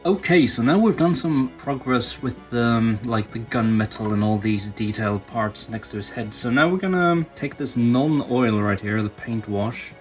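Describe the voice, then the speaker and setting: A man giving casual, hands-on tutorial commentary as a video host, musing aloud in halting phrases. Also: medium pitch, soft background music. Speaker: toy customizing video host.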